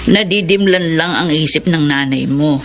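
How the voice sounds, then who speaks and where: An actor playing a gentle, forgiving elderly grandparent in a Filipino radio drama, soothing and advising. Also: mid-range voice, normal speed, slight background noise, close to an everyday voice. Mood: gentle, consoling.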